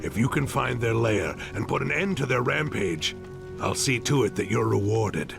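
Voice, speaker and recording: An actor playing a gruff orc security captain in a fantasy game, formal and military. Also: male voice, on the low side, expressive, moderate pace. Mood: stern, businesslike. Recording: music behind the voice.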